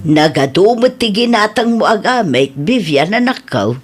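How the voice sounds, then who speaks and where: Thrifty, nagging older mother played by an actress, Ilocano-accented, lively pitch, radio comedy drama. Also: normal speed, over music, natural voice. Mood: nagging, concerned. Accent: Filipino (Ilocano).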